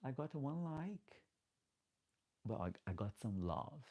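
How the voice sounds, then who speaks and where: A man's voice: mid-range voice, sing-song, slow pace, a little mellow. A man with a Portuguese-speaking accent, a drag queen out of drag, chatting casually on a late-night livestream and reading comments aloud. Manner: chatty, reads comments aloud, pleads for likes. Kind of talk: casual live chat.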